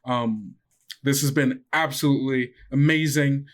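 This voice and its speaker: A mid-pitched man signing off as the game master of a tabletop podcast. He talks loosely and chattily, wandering between ums and quick laughs. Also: steady tone. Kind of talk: casual podcast sign-off.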